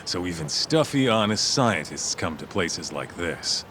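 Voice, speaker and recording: A man playing a gruff, blunt private investigator with slangy, wry talk in a video game cutscene. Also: low-pitched, big pitch swings, measured pace, noisy background.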